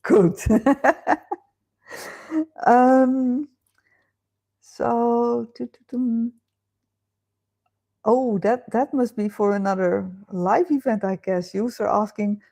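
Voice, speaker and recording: Slow-talking Dutch woman chatting live. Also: medium pitch, a little mellow. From Netherlands. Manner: chatty, laughs often, thinks aloud with fillers. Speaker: livestream host. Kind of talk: casual live chat reading viewer comments. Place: home.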